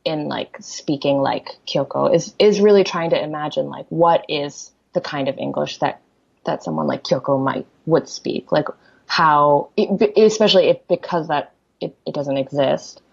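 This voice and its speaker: A woman's voice: deep voice, normal speed, creaky, slightly rough, dull audio. A poet talking casually in an interview, her first language Korean, chatty and full of "like" fillers and restarts. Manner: chatty, many "like" fillers, restarts, laughs easily. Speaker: poet, guest. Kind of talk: casual conversation.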